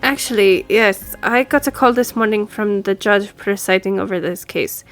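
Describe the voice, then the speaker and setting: A woman's voice: mid-range voice, normal speed, faint music behind, close to an everyday voice. A woman playing a friendly, businesslike law firm boss in an audio roleplay, speaking conversationally to the listener. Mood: brisk, helpful. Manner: businesslike, conversational, lightly teasing. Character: brisk, friendly female law firm boss giving instructions.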